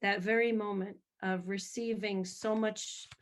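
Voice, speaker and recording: A woman singer answering interview questions about her faith, telling her story in a lively, hyper way. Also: mid-range voice, unhurried. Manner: animated storytelling, self-described hyper.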